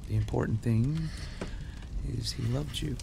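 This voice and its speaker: A man playing a gruff, protective middle-aged detective father who is tender with his daughter, in an audio drama. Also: medium pitch, unhurried, background noise. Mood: tender, consoling.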